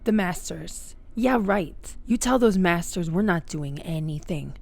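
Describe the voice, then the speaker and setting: Defiant, tough young captive. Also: female voice, on the low side, animated, measured pace, gravelly, a little mellow. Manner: defiant, sarcastic. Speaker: radio drama actor. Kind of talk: radio play acting.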